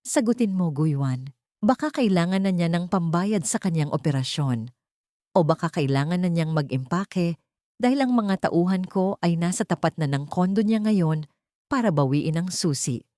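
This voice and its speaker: A woman voicing a cold, vengeful company director with a cutting, deliberate delivery. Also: fairly low pitch, expressive, warm tone, dry recording, studio quality, close to an everyday voice. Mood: commanding.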